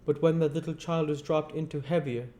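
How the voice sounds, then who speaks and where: Guy doing a high voiced country bloke from a storybook, kindly, uneducated, argues his point emphatically. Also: steady tone, fairly quick, mellow, lightly acted. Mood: earnest, argumentative. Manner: rustic ungrammatical speech, argumentative, emphatic. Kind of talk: audiobook character voice.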